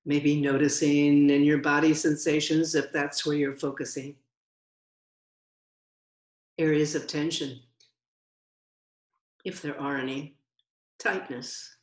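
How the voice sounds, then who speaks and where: A woman meditation teacher giving guided meditation instruction in a gentle, unhurried way with long pauses. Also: sits low, level tone. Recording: roomy, echoing sound.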